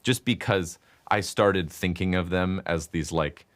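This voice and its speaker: A young man in his twenties chatting in an interview about making music, talking loudly and animatedly with lots of "like" fillers. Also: low voice. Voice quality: loud voice. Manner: animated, talks in quick bursts with many "like" fillers. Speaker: guest musician and composer. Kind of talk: casual interview chat.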